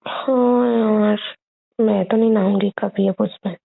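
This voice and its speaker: Husky young woman on a phone line. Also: medium pitch, steady tone, measured pace. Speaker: girlfriend on a call with her boyfriend. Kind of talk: casual intimate phone chat. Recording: muffled, band-limited phone call recording.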